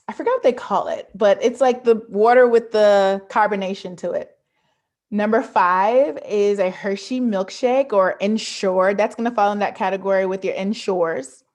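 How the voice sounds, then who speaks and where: A woman's voice: mid-range voice, a little mellow. Woman presenting, emphatic and engaging. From born in Jamaica, grew up in Georgia, USA. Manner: emphatic, engaging, addresses listeners directly. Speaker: pediatric dentist, guest speaker. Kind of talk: prepared, interactive online presentation. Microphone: computer on a video call.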